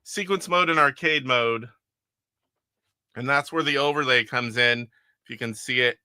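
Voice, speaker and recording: A man's voice: medium pitch, moderate pace, bright, forceful tone, smooth, no room sound, studio quality. A middle-aged man hosting a casual live stream from his attic game room, rambling and chuckling as he plays and reads viewer comments aloud. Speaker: retro game streamer host.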